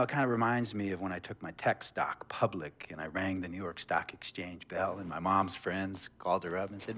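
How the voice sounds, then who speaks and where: A man's voice: mid-range voice, a bit bright. A man playing a chatty, wealthy basketball team owner in a video game cutscene, rambling in a folksy, self-absorbed way. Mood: boastful, nostalgic.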